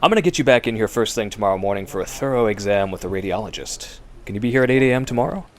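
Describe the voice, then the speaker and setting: A man acting a concerned, measured doctor who mutters as he examines a patient in a radio drama. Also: fairly low pitch, expressive, lightly acted. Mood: fascinated, brisk. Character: concerned male doctor examining a strange mole.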